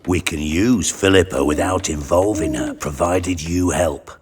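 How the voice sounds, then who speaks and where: An actor playing a cunning, theatrical spymaster in a game, with a measured, persuasive delivery. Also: male voice, sits low, darkish tone, slightly rough. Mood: calculating. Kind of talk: cinematic game voice acting.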